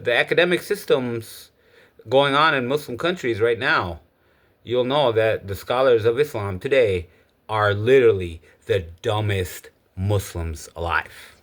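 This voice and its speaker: A man's voice: mid-range voice, a bit bright. A man from Egypt giving a casual, opinionated spoken monologue. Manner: opinionated, lecturing. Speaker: speaker giving his views.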